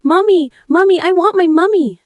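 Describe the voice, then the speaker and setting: Woman acting a shrill, terrified little girl. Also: moderate pace, a little mellow, no room sound. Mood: screaming, desperate. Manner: shrill, desperate pleading. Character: small terrified girl of about eight, pleading for her mother.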